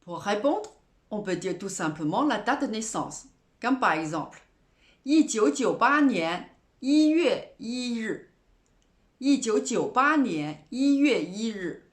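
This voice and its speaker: A woman giving a scripted language lesson as a teacher, in a didactic, deliberate way, slowly enunciating repeated example phrases. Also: a little high, sing-song. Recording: roomy, echoing voice recording.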